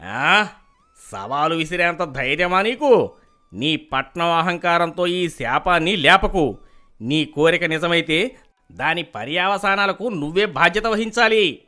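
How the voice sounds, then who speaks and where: Casting: male actor as menacing fake holy man, high-pitched, very animated, pompous and ominous, cartoon folk tale. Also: unhurried. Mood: angry, scornful. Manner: grave, imperious, ominous warnings. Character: pompous, menacing fraudulent village priest, male. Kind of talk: animated moral story acting.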